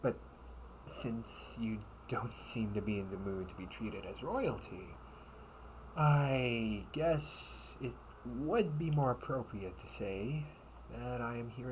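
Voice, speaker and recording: A man playing a loyal young knight in an audio roleplay, a caring, gently teasing friend who speaks in halting, formal phrases. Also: medium pitch, slight background noise, lightly acted, poor audio. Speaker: amateur audio roleplay performer. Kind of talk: intimate audio roleplay acting.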